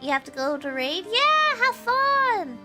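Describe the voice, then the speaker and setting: A woman's voice: very high voice, very animated, measured pace. A young woman streaming a game, chatting casually and rambling with lots of fillers, her nose stuffy from allergies. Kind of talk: casual gameplay stream chat. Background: game music.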